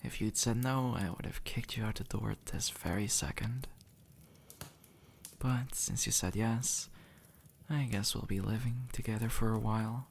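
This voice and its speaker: Man playing a low, gravelly, easygoing demon. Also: unhurried, a little hiss or hum. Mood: casual, teasing. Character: easygoing two-century-old male demon, formerly human, reassuring and teasing. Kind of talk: intimate ASMR audio roleplay, addressed to the listener.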